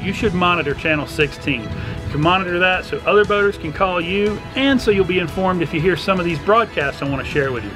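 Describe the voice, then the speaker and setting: A middle-aged man presenting an instructional explainer to camera, talking through things step by step. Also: high-pitched. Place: outdoors on a docked boat at a marina. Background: background music.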